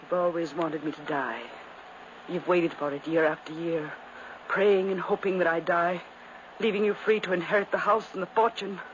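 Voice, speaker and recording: A woman's voice: on the low side, steady tone, moderate pace, close to an everyday voice. A dying noblewoman acted by a woman who commands and pleads with gothic fearfulness. The delivery is theatrical vintage radio drama, on a muffled, noisy old recording. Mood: bitter, ominous. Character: dying, fearful, domineering twin sister, Lady Madeline. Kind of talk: 1950s radio drama acting.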